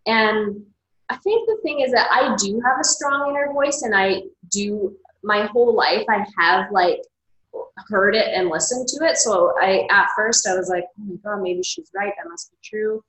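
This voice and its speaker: A woman's voice: medium pitch, normal speed, fairly bright tone, echoey room, poor audio. A woman author and coach talking candidly in an interview, reflective and open, with lots of "like" fillers. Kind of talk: casual interview conversation.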